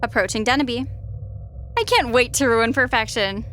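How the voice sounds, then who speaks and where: A woman's voice: fairly high pitch, normal speed, a bit bright, natural voice. A woman playing a sassy, destruction-loving spaceship program, sarcastic and blunt, in a comic sci-fi audio drama with faint noise behind. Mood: bored sarcasm.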